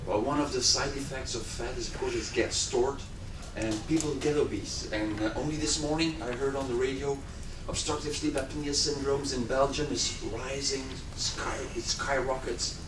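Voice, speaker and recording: A man's voice: medium pitch, steady tone. A man chairing a conference session who introduces the speaker formally, often stopping to correct himself. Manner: halting, self-correcting introduction. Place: conference hall. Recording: echoey hall, distant mic, audible noise.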